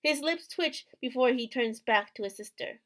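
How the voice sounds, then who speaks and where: A woman's voice: high-pitched, lively intonation, normal speed, fairly bright tone. A woman hosting a gaming video, reading a visual novel's narration aloud expressively.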